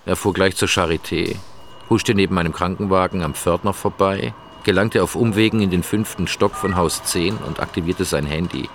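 An actor as the sober narrator of a crime radio play, measured and matter-of-fact. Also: male voice, sits low, steady tone, slight background noise. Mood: sober, suspenseful. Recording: music playing behind the voice.

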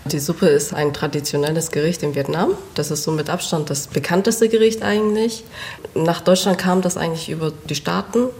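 A woman's voice: on the low side, darkish tone, a little hiss or hum. A young woman born and raised in Germany, a restaurant owner and cook, giving short, plain answers in an interview and laughing often.

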